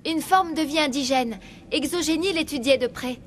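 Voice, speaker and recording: Actress as anxious, high-voiced young research assistant. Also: female voice, moderate pace, slight background noise, lightly acted. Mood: anxious, explaining. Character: anxious, self-blaming young female research assistant, trapped. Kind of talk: French video game dub.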